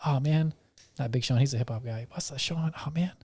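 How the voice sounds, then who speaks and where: A man's voice: mid-range voice, a little fast, mellow, breathy edge. A young man from Georgia with a Southern accent, chatting loosely as a podcast host and often restarting his sentences. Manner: rambling, restarts sentences, laid-back. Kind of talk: casual chat.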